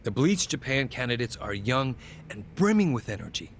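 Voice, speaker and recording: Slick party chair in a game, rhetorical and zealous, really animated pitch, bit of background noise. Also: male voice, moderate pace. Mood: confident zeal. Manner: polished, rhetorical. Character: polished, zealous male politician and party chair. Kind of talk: public statement in game dub.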